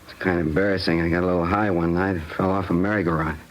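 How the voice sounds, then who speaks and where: An actor playing a nervous, evasive American cab driver under police questioning in a 1950s radio crime drama. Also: male voice, sits low, fairly even intonation, quick pace, close to an everyday voice. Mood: uneasy, defensive. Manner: evasive, slangy, ingratiating. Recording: vintage radio recording, faint hiss.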